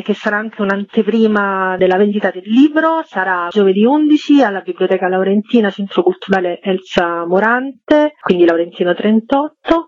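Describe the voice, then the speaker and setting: A woman author being interviewed on the radio, talking in a lively, chatty way. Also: medium pitch, no echo. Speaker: author guest. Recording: dull dry radio studio sound.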